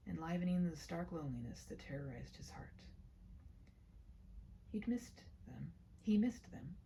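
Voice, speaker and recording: A woman reading her own short story aloud in a hushed, deliberate way, often slipping into whispers, with slow phrasing and dramatic pauses. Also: fairly low pitch, creaky, a little hiss or hum, rough recording. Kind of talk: read-aloud of a literary short story, soft and ASMR-leaning.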